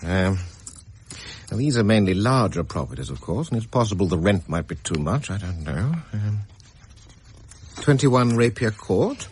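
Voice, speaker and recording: An actor playing a middle-aged senior estate agent, deferential and hesitant, hedging with fillers in a radio drama. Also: male voice, lowish voice, sing-song, moderate pace, a little hiss or hum. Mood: evasive. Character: fussy, evasive male senior estate agent.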